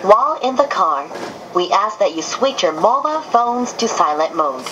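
A woman's voice: medium pitch, big pitch swings, highs cut off. A woman reading a polite, scripted automated announcement, played over a train's speakers. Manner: polite, measured, precisely scripted. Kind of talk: recorded scripted public announcement. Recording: train PA with background noise.

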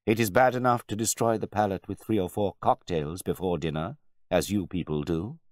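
A man's voice: low voice, no room sound, studio quality, pitched above the performer's own voice, close to an everyday voice. Man acting a grave, formal butler. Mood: disdainful. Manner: formal, measured, politely condescending. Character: grave, deferential yet quietly disdainful male butler.

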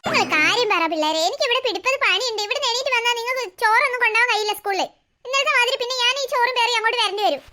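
A woman's voice: extremely high pitch, rapid-fire, background noise. A woman voicing a busy mother who scolds and calls out impatiently from the kitchen.

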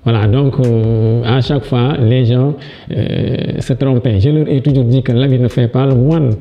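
A middle-aged man with a Senegalese French accent, answering interview questions from his office with halting restarts and stories told with growing energy. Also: medium pitch, steady tone, highs cut off. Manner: halting restarts and repeated words, livelier when telling stories. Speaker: education official interviewed as guest. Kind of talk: interview answers, personal anecdotes. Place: office with large window, indoors. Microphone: lapel mic.

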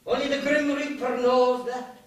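An actor with an Irish accent playing an old war veteran on stage, eloquent, defiant and haunted, declaiming deliberately. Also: male voice, very high voice, little pitch movement, fairly bright tone. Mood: defiant, lofty. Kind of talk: live stage play acting. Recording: echoey theatre stage, distant mic, faint hiss.

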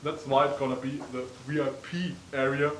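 A man's voice: medium pitch, little pitch movement, normal speed, a bit bright. A young man giving a casual, chatty walkthrough tour of an old wooden barn, with lots of ums, recorded on a camera mic from a distance. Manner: chatty, frequent ums, gestures while walking. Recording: echoey barn, distant mic, faint noise.

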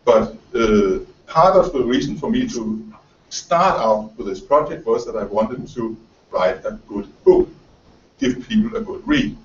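Danish-born journalist in his 50s, halting lecture delivery, picked up from afar in a roomy room. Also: medium pitch, big pitch swings, slight background noise. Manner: halting, many fillers and repeated words. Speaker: journalist and author giving a lecture. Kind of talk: lecture talk reading from notes. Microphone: far camera. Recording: roomy, distant, dull camera audio.

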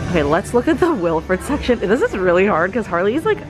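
A woman's voice: medium pitch, very animated, a little fast, music in the background, low-quality recording. A young woman in her twenties chatting casually through a clothing try-on vlog, rambling with fillers as she films on a handheld camera in a shop. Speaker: fashion vlogger. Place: clothing store, indoors.

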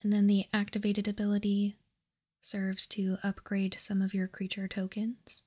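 A woman whispering softly and breathily in an ASMR style, calm and unhurried, as she hosts a card walkthrough. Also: medium pitch, slightly rough. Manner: calm, unhurried, reading out and commenting. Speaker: host of a card game ASMR channel.

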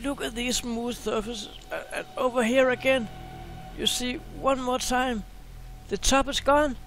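A man hosting a space video, talking casually and excitedly over a screen share, often repeating words. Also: extremely high pitch, measured pace, faint music behind.

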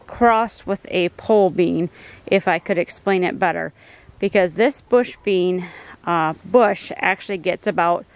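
A middle-aged woman walks her garden and talks through each plant in a relaxed, explanatory way, dropping plenty of ums and uhs. She's filming outdoors on a handheld phone, her voice sitting at an ordinary middle pitch. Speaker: homestead gardener giving a garden tour. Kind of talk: casual garden tour narration. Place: outdoor backyard garden and greenhouse.

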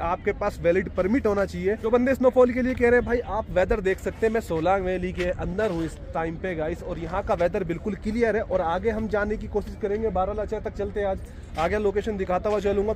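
A man guiding viewers on a casual mountain travel vlog, chatty and calling them brother, recorded outdoors. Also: high-pitched. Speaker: travel vlogger and tour guide. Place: outdoors in mountain valley and on road. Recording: faint outdoor noise.

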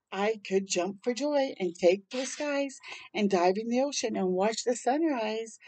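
Older female storytime reader, soft mellow tone, unhurried pace, deliberate dramatic delivery for young children. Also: fairly high pitch, poor audio. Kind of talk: read-aloud of a rhyming picture book with brief asides. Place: outdoors on a porch.

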